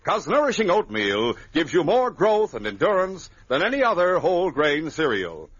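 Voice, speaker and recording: A man announcing an old-time radio serial and its sponsor pitches in a dramatic, punchy, hearty way. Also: wildly swooping pitch, moderate pace, fairly bright tone, husky. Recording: dull lo-fi vintage radio recording.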